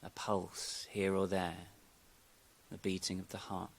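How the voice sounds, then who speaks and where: A man speaking as a yoga nidra teacher, giving calm, unhurried guided meditation instructions with long pauses. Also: sits low, vocal fry.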